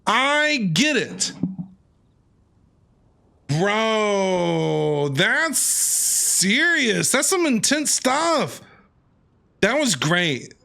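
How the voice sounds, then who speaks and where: Male streamer, slow and animated, reacting to a video game. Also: sits high, gravelly. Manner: excited, talks back to the characters. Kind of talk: casual reaction commentary. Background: game music.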